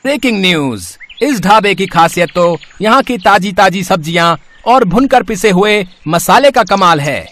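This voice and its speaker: A man's voice: high voice, lively intonation, moderate pace, a bit bright. A man voicing an eager TV news reporter in a cartoon moral story. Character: eager TV news reporter at a village food stall.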